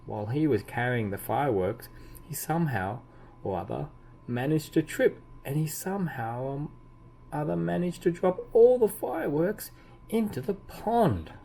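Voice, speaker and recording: A man reading a children's story aloud expressively, with deliberate emphasis and drawn-out key words. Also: on the high side, expressive, mellow. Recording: clearly audible background noise.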